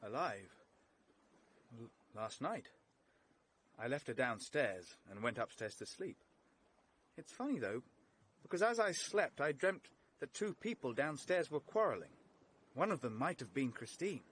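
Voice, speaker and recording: An actor playing an earnest, flustered English gentleman, agitated and indignant, in a vintage British radio drama. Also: medium pitch, very animated, measured pace, long pauses, a little hiss or hum. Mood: subdued, defensive.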